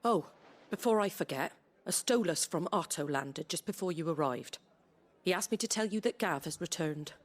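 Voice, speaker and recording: A woman's voice: lowish voice, animated, moderate pace. An actress playing a tough, blunt woman leader in a dramatic game cutscene. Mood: defiant, brisk. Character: tough, blunt tavern leader woman, guilt-ridden but defiant.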